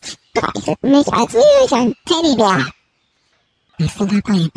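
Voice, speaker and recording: A woman voicing a hungry, proud young male shepherd dog in a comedy audio sketch, grumbling to himself in an inner monologue with wild swings in pitch. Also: wildly swooping pitch, a little slow, darkish tone, full character voice. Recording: clear background noise.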